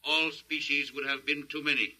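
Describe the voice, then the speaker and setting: An actor voicing a cold, literal-minded alien in a 1950s radio drama, speaking stiffly and formally with precise diction. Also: male voice, a little high, flat delivery, moderate pace, sharp tone, low-quality recording. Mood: detached, matter-of-fact.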